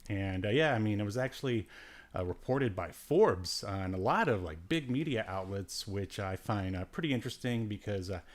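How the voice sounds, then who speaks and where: Low-voiced man chatting casually. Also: lively intonation, normal speed. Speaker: podcast host. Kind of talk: casual news chat.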